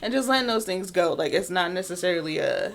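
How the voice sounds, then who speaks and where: A woman's voice: on the low side, animated, quick pace. A woman around thirty co-hosting a podcast, talking in a reflective, easygoing way and laughing along often. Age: 30s. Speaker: podcast co-host. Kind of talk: casual chat.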